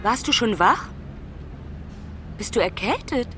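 An actress playing a travelling daughter on the phone to her mother in a naturalistic radio drama, halting and often breaking off mid-sentence. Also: on the high side, lively intonation, a bit bright, slight background noise. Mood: tender, delighted.

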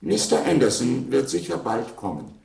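An actor playing an obsolete household robot in a radio play, polite, formal and literal, a slow-witted servant. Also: male voice, medium pitch, sing-song, vocal fry, darkish tone, roomy sound, low-quality recording. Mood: dutiful. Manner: courteous, formal, matter-of-fact. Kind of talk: German radio play acting.

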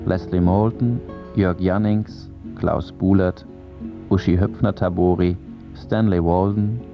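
A man's voice: low-pitched, fairly even intonation, slow pace, gravelly. A man announcing a programme title and a list of names from a script. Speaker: radio announcer. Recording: slightly dull sound over music.

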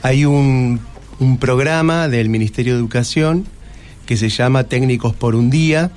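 A man's voice: mid-range voice, moderate pace, darkish tone. A man with an Argentine Rioplatense accent speaking informally as a guest, explaining things step by step. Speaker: school staff member, guest. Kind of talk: informal explanatory interview talk.

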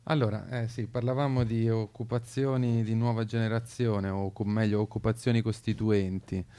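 A man's voice: fairly low pitch, little pitch movement, normal speed, mellow, slight background noise. A man explaining political ideas on radio in a hesitant, intellectual, searching way. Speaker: radio show speaker.

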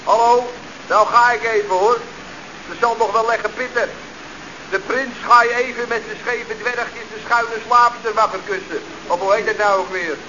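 An actor playing a cheeky, slangy youngster who reports in as if over a walkie-talkie in a radio comedy. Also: male voice, high voice, steady tone, moderate pace, sharp tone. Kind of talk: Dutch radio comedy acting. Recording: dull, noisy old radio recording.

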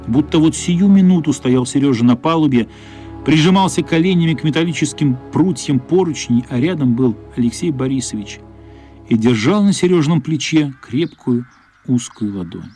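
A man's voice: medium pitch, a little mellow. A man narrating a literary story for a radio play, as a scripted narrator. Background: faint music.

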